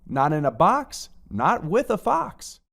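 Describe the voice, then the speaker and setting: A woman's voice: fairly low pitch, slow, gravelly, full character voice. A woman voicing a grumpy, stubborn picky eater in a read-aloud storybook, grumbling every refusal. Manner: flat, grumbling, fed-up refusals.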